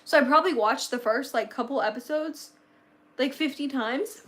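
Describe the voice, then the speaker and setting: Gushy teen girl rambling on a livestream, kinda high voice, bit worn out from talking. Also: normal speed, a bit bright. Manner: bubbly, gushing, rambling with many fillers. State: slightly losing her voice. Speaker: livestream host. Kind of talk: casual livestream chat.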